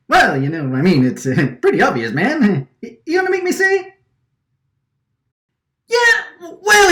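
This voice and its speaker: A woman plays a brash vacuum-faced wiseguy from a radio drama, pitched high and swinging wildly. He is agitated and indignant, stammering and hammering on his phrases. The recording sounds like an echoey room. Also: a bit bright, exaggerated voice. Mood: dismayed. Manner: agitated, stammering, repeating lines emphatically. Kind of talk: radio drama line takes, gangster-movie wiseguy delivery.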